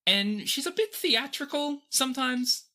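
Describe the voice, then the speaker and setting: Game master for tabletop roleplay. Mid pitch, lively swings, forward bright tone, expressive improvised storytelling. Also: unhurried.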